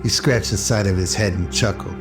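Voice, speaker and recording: A man's voice: sits low, a little fast. A man narrating a scripted radio drama in a pulp noir storytelling style. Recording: music under the voice.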